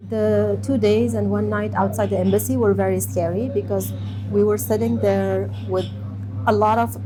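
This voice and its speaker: A woman in her thirties from Afghanistan, giving a measured personal testimony with pauses and restarts. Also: medium pitch, background noise. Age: 30s. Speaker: interviewee.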